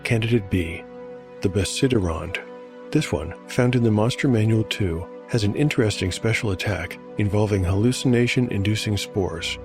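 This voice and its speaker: A man narrating a solo fantasy role-playing show as game master, in a measured, explanatory scripted style. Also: on the low side. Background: music.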